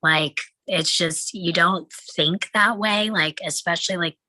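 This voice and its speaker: A woman's voice: lowish voice, a little slow, pushed and bright, no room sound. A woman talking reflectively in a group discussion after a script reading. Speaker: table read participant discussing a film script.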